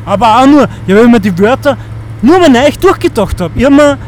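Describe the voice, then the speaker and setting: A man's voice: very high voice, animated, brisk, a little hiss or hum. A man in his thirties with an Austrian accent, talking in an earnest, rambling monologue and often restarting as he searches for words. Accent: Austrian German. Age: 30s.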